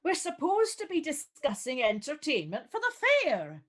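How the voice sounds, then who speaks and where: A woman's voice: high-pitched, wildly swooping pitch, moderate pace. A woman playing a prim, haughty, disapproving committee member in a radio pantomime, snippy and clipped. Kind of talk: pantomime radio play acting.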